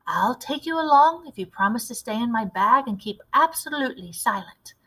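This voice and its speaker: This is a loving old grandmother from a storybook, played by a woman reader. She speaks in a measured, bright voice, shrewd and cautious, fondly admonishing and encouraging. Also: a little high, lively intonation, poor audio.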